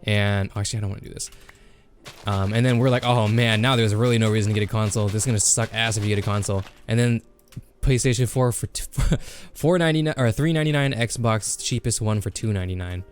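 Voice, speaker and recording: A young man hosting a casual gaming chat, excitable, swearing and saying "bro" a lot. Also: on the low side, quick pace, a little hiss or hum. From Kansas. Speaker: podcast host.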